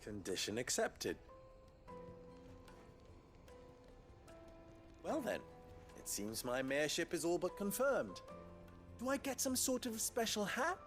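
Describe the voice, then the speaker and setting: An actor playing an upright, wry town leader in a fantasy game, speaking in formal, eloquent dialogue. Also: male voice, high voice, very animated, moderate pace, fairly bright tone, faint music behind. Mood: wry, reflective. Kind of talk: dramatic video game dialogue.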